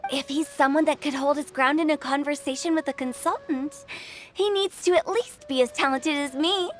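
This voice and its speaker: Very high, cheeky teenage girl played by a woman. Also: moderate pace, soft background music, natural voice. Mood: nosy, teasing. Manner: teasing, inquisitive, expressive. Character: bold, nosy, playful teenage girl, cheeky and theatrical. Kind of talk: video game character dialogue.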